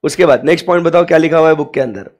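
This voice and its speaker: A young man lecturing a class with a sore throat, mixing Hindi and English and quizzing students with rhetorical questions. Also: fairly high pitch. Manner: asks students rhetorical questions, drawn-out emphatic English phrases. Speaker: commerce lecturer.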